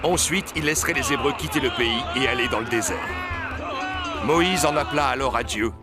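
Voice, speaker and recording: A man's voice: mid-range voice, fairly quick. A man reading scripted narration of a biblical story as narrator. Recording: music under the voice.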